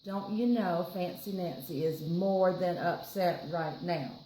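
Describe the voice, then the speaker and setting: A woman with a Southern US accent reads to children in a slow, chatty way. She is playful and expressive. The room is echoey, with some background noise. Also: lowish voice. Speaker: children's storytime reader. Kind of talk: picture book read-aloud with friendly asides to children.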